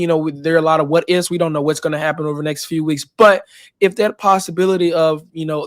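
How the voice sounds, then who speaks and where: A young man with a drawl talks college football, his voice worn hoarse. He holds a narrow, even pitch sitting a bit high for a man, but his words tumble out full of fillers and restarts. The sound is dry and close. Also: no echo. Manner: rambling, passionate fan with many fillers. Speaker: co-host. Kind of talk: casual sports podcast chat.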